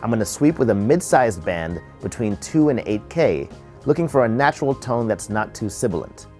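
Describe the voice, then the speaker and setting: Man presenting a tutorial with lively intonation. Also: on the low side, faint music behind. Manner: explanatory, measured step-by-step delivery. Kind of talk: instructional narration.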